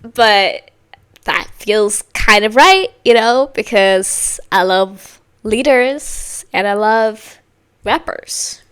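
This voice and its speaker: A woman hosting a music reaction video, talking casually in rambling, filler-heavy sentences with bursts of excitement. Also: mid-range voice, drawn-out words, clear, resonant tone. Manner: chatty, rambling, many likes and you-know-what-I-means.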